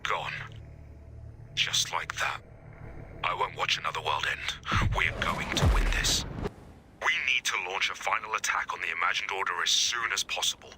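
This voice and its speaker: An actor playing a grave, terse resistance leader giving mission orders in a video game, with a breathy undertone. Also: male voice, deep voice, normal speed, long pauses, bright, forceful tone, a little hiss or hum. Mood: grim resolve.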